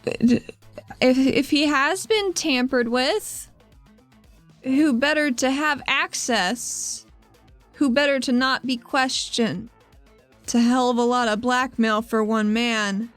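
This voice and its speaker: A woman's voice: high voice, takes it slowly. A woman playing a tabletop role-playing game, talking casually and partly in character. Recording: faint music under a dry voice. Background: soft background music.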